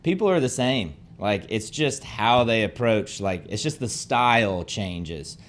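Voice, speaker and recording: A man's voice: lowish voice, animated, measured pace, a little hiss or hum. A young man from the Southern US, a podcast co-host talking to a guest in a rambling, enthusiastic way with many fillers. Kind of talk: casual interview chat.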